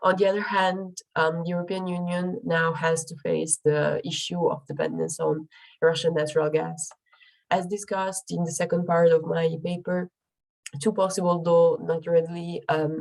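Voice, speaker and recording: A woman's voice: fairly low pitch, flat delivery, moderate pace, creaky, darkish tone, rough recording. A young woman presenting her research, her voice affected by a cold, speaking carefully with frequent ums and restarts. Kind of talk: academic presentation.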